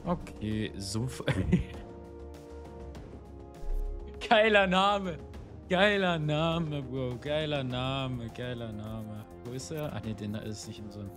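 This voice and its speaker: A young man with a slightly hoarse, cold-roughened voice chats through a shooter match at an ordinary pitch. He rambles, curses and fills his sentences with ums. Faint game music and gunfire sit underneath him. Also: slow. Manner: chatty, swears often, rambling with many fillers. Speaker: gaming YouTuber. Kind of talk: casual gameplay commentary.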